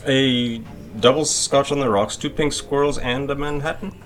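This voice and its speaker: A man's voice: medium pitch, fairly even intonation, normal speed, slight background noise, lightly acted. A man playing a formal, courteous waiter, stiff and deferential, in a period audio drama.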